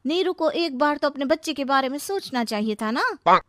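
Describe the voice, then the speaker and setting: Woman as resentful story daughter-in-law, sarcastic, scolding, high-pitched, dry sound. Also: animated, normal speed, a bit bright, no room sound. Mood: indignant sarcasm.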